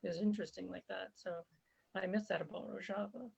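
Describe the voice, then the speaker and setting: A woman's voice: medium pitch, fairly even intonation, a little fast, vocal fry, a little mellow, slightly rough. A woman raised in Canada and born in Zambia, speaking earnestly and conversationally as an interview guest, with frequent fillers. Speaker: interview guest, former volunteer fighter. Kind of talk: casual spoken interview. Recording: dull lo-fi recording.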